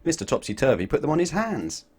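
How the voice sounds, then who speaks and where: A man reading a children's storybook aloud in an expressive way, putting questions to his young listeners. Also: mid-range voice, fast, husky. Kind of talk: animated children's storybook narration.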